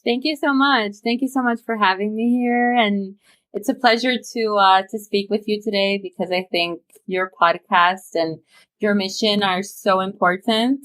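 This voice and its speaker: A woman's voice: mid-range voice, fairly even intonation, moderate pace, a bit bright. A young woman from Romania, a podcast guest talking eagerly and chattily over a remote call, laughing easily. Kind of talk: casual interview chat.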